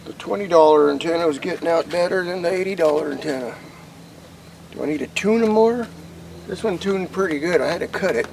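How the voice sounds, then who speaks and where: An American man giving a casual, rambling hands-on demonstration to camera, informal and thinking out loud as he goes. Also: on the high side, brisk, creaky, slight background noise, rough recording. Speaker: hobbyist vlogger demonstrating CB radio gear.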